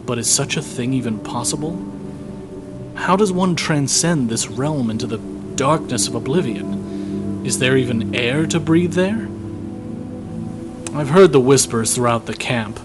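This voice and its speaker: Fantasy drama conscript, young man male actor: medium pitch, guilt-worn, reflective diary delivery, prominent musical score behind. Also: measured pace, pauses often, loud music behind the voice, close to an everyday voice. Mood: uncertain, pondering. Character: weary, guilt-ridden young male imperial conscript soldier. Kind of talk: scripted fantasy audio drama journal narration.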